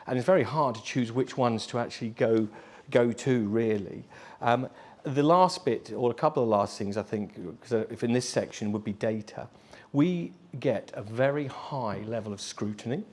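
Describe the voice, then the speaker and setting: Measured man speaking on a panel. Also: medium pitch. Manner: measured, hesitant, with fillers. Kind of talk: panel discussion answer.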